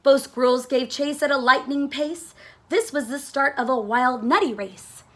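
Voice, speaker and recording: A woman reading a children's storybook aloud in an expressive, dramatic way with deliberate, emphatic phrasing. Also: sits high.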